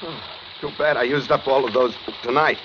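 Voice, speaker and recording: A man's voice: mid-range voice, normal speed, close to an everyday voice. An actor playing a menacing, taunting killer in a 1940s radio thriller, curt, commanding and sardonic with his captive. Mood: cool, taunting. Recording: muffled, noisy 1940s radio recording.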